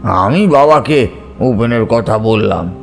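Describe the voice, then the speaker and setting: Male audiobook narrator, unhurried, medium pitch, scripted storytelling, faint music behind. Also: lively intonation, creaky. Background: soft background music.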